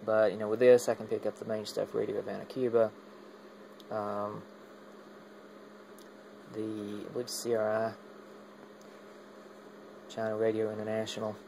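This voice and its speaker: A man's voice: fairly low pitch, monotone, normal speed, gravelly, long pauses, dark tone, a little hiss or hum, low-quality recording. A man casually demonstrating his radio gear in an unscripted, rambling way, often hesitating and trailing off mid-thought. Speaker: amateur radio hobbyist demonstrating equipment.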